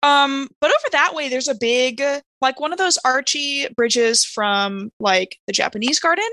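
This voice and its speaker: A woman with a fairly high, bright voice plays a tabletop game. She talks informally and with animation, slipping in and out of character, recorded dry and close. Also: lively intonation, moderate pace, no echo. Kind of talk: casual in-game chat, half in character.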